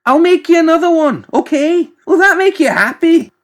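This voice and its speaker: A man voicing an exasperated, excitable mad doctor in an audiobook, snappish, fussy and defensive. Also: very high voice, moderate pace, pitched above the performer's own voice. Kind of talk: audiobook character voice.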